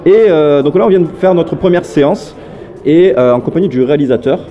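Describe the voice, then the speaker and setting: A man's voice: on the high side, brisk, lo-fi sound, soft background music. A man hosting a film vlog at a festival, talking loosely with many fillers and jokes. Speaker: vlog host. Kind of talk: casual film vlog chat.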